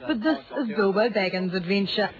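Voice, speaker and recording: A woman's voice: medium pitch, expressive, brisk, a crowd in the background. A woman reporter narrating a scripted television news report. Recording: dull lo-fi broadcast copy.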